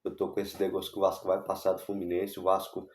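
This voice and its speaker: A man with a Brazilian accent giving his casual take on a football match for his channel, hoarse from a cold and hesitant, with many fillers and restarts. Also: deep voice, completely flat delivery, fast, a little mellow, reverberant. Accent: Brazilian Portuguese. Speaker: football YouTuber. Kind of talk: casual match commentary vlog.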